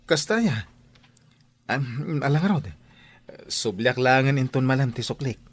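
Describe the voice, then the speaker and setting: A man's voice: mid-range voice, lively intonation, a little slow. A man playing a bashful, lovestruck young man in a radio drama, hesitant and flustered. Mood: awkward.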